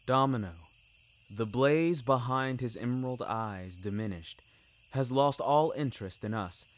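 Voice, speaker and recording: A man's voice: medium pitch, slight background noise. A man narrating scripted dramatic fiction in a wry, literary manner. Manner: wry, literary, deliberate phrasing. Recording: music bed behind narration.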